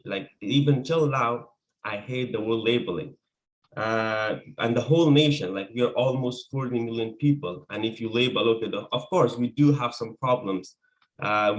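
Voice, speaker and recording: A man's voice: mid-range voice, rough recording. A man from Afghanistan, a native Persian speaker with an Afghan accent, giving an earnest personal account as an interview guest.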